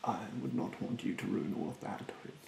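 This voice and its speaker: Soft, very creaky-voiced haughty young man. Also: sits low, expressive, a little fast, roomy sound, background noise. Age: 20s. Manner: soft-spoken, breathy, often near a whisper; haughty, condescending, politely insulting. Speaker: ASMR roleplayer playing a rude beautician. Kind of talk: ASMR roleplay. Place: small bedroom, indoors.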